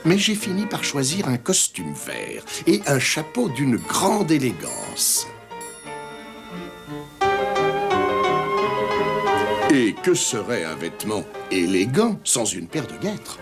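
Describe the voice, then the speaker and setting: A man playing a grown elephant who narrates memories in a French cartoon, dignified, nostalgic and gently wry. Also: medium pitch, huge pitch swings, unhurried, creaky, hardly any pauses, full character voice. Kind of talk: scripted storybook narration in French-dubbed cartoon. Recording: music under the narration.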